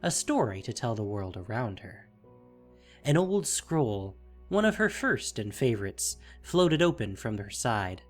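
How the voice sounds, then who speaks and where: A man narrating a scripted fantasy audio drama with measured, theatrical phrasing. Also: mid-range voice, big pitch swings. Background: music.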